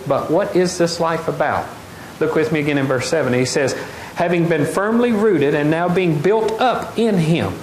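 A middle-aged man preaching a lively sermon, often asking the congregation questions. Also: on the high side, moderate pace. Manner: animated, gestures widely, asks the congregation questions. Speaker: pastor. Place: large wooden open-air church hall. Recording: echoey hall, faint noise.

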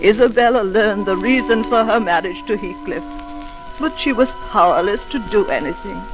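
An actress playing an old maidservant, narrating a 1940s radio drama in a hushed, solemn way. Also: female voice, mid-range voice, close to an everyday voice, poor audio. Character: old maidservant solemnly telling her story. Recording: old radio recording, music behind.

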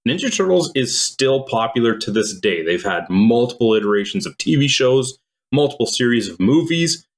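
A man from Croatia co-hosting a podcast, explaining things in a measured, storytelling way. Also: mid-range voice, sing-song. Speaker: podcast co-host. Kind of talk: casual podcast chat.

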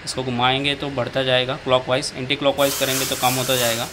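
Indian man demonstrating a machine, flat voice. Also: mid-range voice, fast talker. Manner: explains step by step while operating the machine. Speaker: machine seller demonstrating equipment. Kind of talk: hands-on product demonstration. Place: indoor workshop floor. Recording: loud machine and handling noise.

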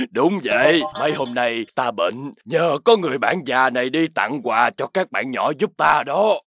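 An actor playing a kindly, jolly old Santa Claus in a children's cartoon, with a husky voice and a gently instructive, avuncular manner. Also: male voice, medium pitch, animated, a little slow, few pauses, no room sound. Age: older.

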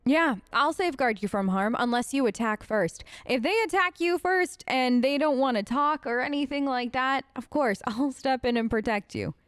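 A woman's voice: high-pitched, moderate pace, few pauses, a bit bright, clear, resonant tone, no room sound, clean studio sound. A woman doing casual, chatty role-play commentary as a let's play host. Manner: chatty, conversational.

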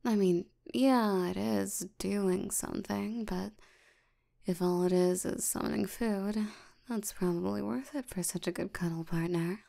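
A woman voicing a tired, languid sloth demon in an intimate ASMR roleplay, soft and often nearly whispering, with a drowsy deadpan delivery. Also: mid-range voice, measured pace. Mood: sleepy, bargaining. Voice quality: soft, creaky, often breathy near-whisper.

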